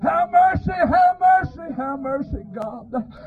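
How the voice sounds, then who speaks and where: Male revival preacher, very high strained pitch, husky, impassioned delivery, dull lo-fi tape. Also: expressive, takes it slowly. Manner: impassioned, pleading, often shouting, punctuates phrases with "amen". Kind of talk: fervent revival sermon. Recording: dull, lo-fi sermon recording.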